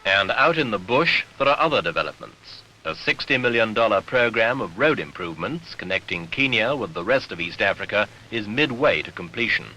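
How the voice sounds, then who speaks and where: A man narrating a 1970s documentary newsreel in a formal, measured, authoritative way, on an archival soundtrack. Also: fairly low pitch, pushed and bright, slight background noise, low-quality recording.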